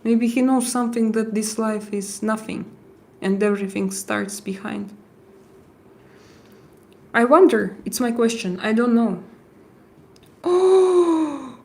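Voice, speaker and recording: Slow, gravelly Russian-accented woman. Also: medium pitch, lively intonation, dark tone. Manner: hesitant, halting, with frequent "uh" fillers and restarts. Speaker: livestream host. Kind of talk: casual livestream chat answering viewer comments.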